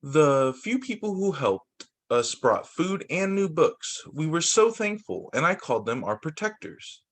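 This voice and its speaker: A man's voice: a little high, sing-song, measured pace. A man reading a children's book aloud as a teacher, occasionally stumbling, repeating phrases and adding casual asides. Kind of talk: children's story time read-aloud.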